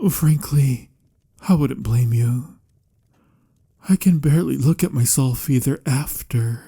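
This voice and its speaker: A man playing a melancholy, courtly vampire lover in a romantic ASMR audio roleplay, speaking softly in formal, old-fashioned, measured phrases with a breathy, husky voice. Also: mid-range voice, exaggerated voice. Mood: anguished, ashamed. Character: melancholy, courtly male vampire lover, patient but pained.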